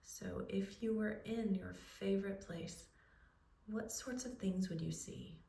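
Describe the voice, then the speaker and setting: A woman leading a guided relaxation, speaking in a soothing, unhurried way with pauses as she gives gentle instructions. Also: medium pitch, creaky. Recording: roomy echo, distant microphone.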